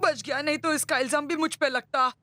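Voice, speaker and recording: A woman's voice: sits high, fairly even intonation, a little fast, over music, lightly acted. A woman voicing a wary, put-upon young schoolboy in a cartoon dub, grumbling and urgent. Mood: alarmed. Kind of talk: Hindi cartoon dubbing.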